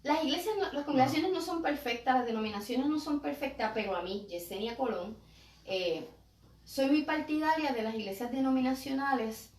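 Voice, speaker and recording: High-voiced Puerto Rican woman, echoey room. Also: moderate pace. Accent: Puerto Rican Spanish. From Puerto Rico. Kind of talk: conversational discussion. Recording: echoey room, distant microphone.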